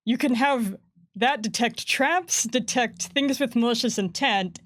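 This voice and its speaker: Laughing, chatty higher-voiced woman. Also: dry recording, studio quality. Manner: chatty, laughs often, acts out quick voices. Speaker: game design staff co-host. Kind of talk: casual chat about game rules.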